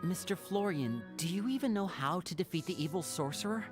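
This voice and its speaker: A woman's voice: fairly low pitch, lively intonation, brisk, lightly acted. An actress playing a polite, decisive young woman archer mage in a video game. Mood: resolute. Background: game music.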